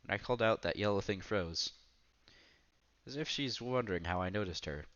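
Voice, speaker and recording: A man's voice: lowish voice, moderate pace, a bit bright, highs cut off. A man reading a visual novel aloud as a streamer, with casual commentary along the way.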